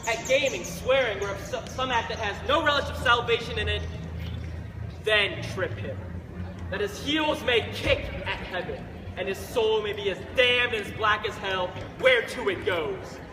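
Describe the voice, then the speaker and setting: Young man playing vengeful prince, high-pitched, echoey. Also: moderate pace, natural voice. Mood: brooding, vengeful. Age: teenager. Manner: declamatory, projected stage delivery. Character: vengeful young prince plotting to kill his uncle. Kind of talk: Shakespearean stage monologue. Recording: echoey, noisy courtyard recording.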